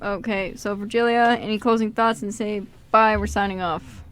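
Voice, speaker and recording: A woman's voice: mid-range voice, steady tone, normal speed. A young woman hosting a radio show with casual banter, other people chattering around her.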